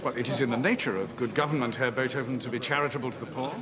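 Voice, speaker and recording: An actor playing a courteous, lofty aristocrat in a period drama. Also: male voice, medium pitch, rapid-fire, natural voice. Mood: condescending. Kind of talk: period television drama acting. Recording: busy crowd behind.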